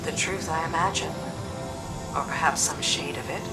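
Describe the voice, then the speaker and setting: A woman playing a loyal, battle-hardened commander in a video game, with a direct, measured manner. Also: deep voice, natural voice, rough recording. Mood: subdued, wry. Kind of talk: video game cutscene acting. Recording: loud music behind. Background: music.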